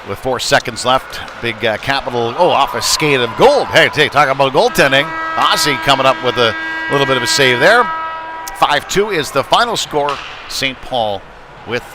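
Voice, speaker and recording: A man giving live play-by-play of an amateur hockey game in an informal, folksy, conversational way. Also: fairly low pitch. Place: indoor ice rink during a live game. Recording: noisy rink ambience behind voice. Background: clear rink and game noise.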